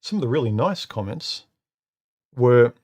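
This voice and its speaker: A man with a deep, somewhat muffled voice hosting a live stream from home into a microphone, talking casually and haltingly, repeating words and filling pauses with uh and um. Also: lively intonation, darkish tone. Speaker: live stream host reading comments. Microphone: studio microphone.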